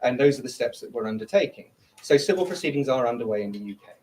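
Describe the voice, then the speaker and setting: A man's voice: medium pitch, lively intonation, fast, darkish tone, slight background noise. Firm formal man at a press conference. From England.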